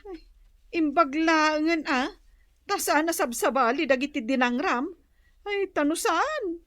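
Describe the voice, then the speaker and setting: Sharp-tongued Ilocano scold, radio comedy drama, high piercing voice, dry studio sound. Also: female voice, expressive, unhurried, no room sound. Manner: scolding, exclamatory.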